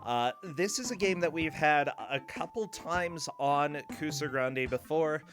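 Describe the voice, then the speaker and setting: A man's voice: fairly high pitch, animated, unhurried, music in the background. A man hosting a streamed game show, chatting casually and joking playfully. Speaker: host.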